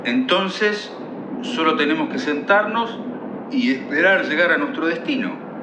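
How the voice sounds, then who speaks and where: A man's voice: on the high side, lively intonation, a little slow. An actor playing a stern, formal older father in a radio play. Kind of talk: theatrical radio play acting. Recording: echoey, noisy recording.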